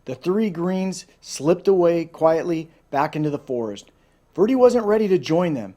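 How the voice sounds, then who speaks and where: A man with a somewhat high-pitched voice reads a children's storybook aloud. He tells it expressively and drops into informal asides as a storyteller would. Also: lively intonation, normal speed, warm tone. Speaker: storybook reader.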